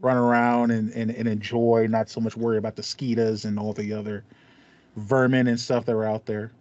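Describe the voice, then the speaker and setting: A man's voice: fairly low pitch, little pitch movement, normal speed, a little mellow, dry recording. An American man in his thirties co-hosting a casual gaming podcast, talking in a chatty, playful, slangy way. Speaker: podcast co-host. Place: small home room with acoustic foam, indoors. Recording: slightly dull, dry home recording.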